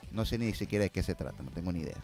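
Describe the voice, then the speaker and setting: A man's voice: low-pitched, animated, very fast, over music. A man with an Ecuadorian accent answering as a filmmaker guest in a conversational, informal, slangy interview style.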